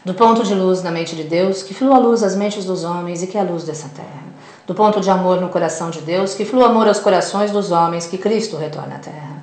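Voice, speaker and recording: A woman with a lowish voice hosts a spiritual radio program. She leads prayers and introduces guests in a devotional tone. The studio sounds roomy. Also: fairly quick, a little mellow. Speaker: host.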